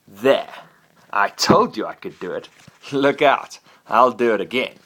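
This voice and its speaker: A man's voice: mid-range voice, animated, unhurried, a bit bright. A man reading a bedtime story, voicing a young boastful male pet dragon that is eager and sulky by turns. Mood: childlike boasting.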